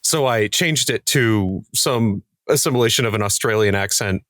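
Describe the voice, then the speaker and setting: A man's voice: lowish voice, normal speed, a bit bright, dry recording, clean studio sound. A man talking casually as a cast member in a podcast panel, telling stories with acted-out asides. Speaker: podcast cast member discussing their show.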